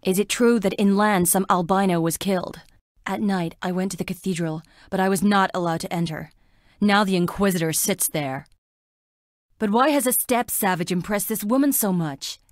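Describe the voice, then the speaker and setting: A woman's voice: medium pitch, moderate pace, no echo, studio quality, lightly acted. An actress playing a frail, melancholy young woman in a plague-stricken town, delivering dramatic video game lines in a wistful, languid, theatrical way. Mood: uneasy, musing.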